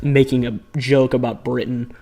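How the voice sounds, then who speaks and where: A man's voice: medium pitch, level tone, moderate pace, mellow, soft background music. A man live-streaming a dating game, reacting with sarcastic, incredulous commentary and reading options aloud.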